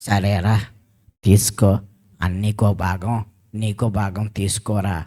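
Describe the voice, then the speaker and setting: A performer voicing a weary, reluctant father in a children's puppet show, resigned and gently scolding. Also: deep voice, flat delivery, takes it slowly.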